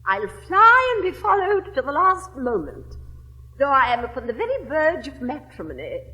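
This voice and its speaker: A woman's voice: high voice, bright, forceful tone, long reverb tail, heavily acted, low-quality recording. An English actress in her seventies playing a witty, capricious young lady of fashion, with an affected, swooping theatrical drawl. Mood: teasing. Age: 70s. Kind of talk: 1960s classic stage recording.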